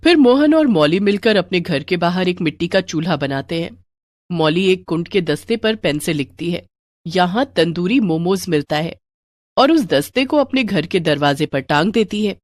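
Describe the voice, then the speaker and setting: A woman's voice: lowish voice, animated, normal speed, dry recording. A woman narrating a scripted moral bedtime story as a storyteller.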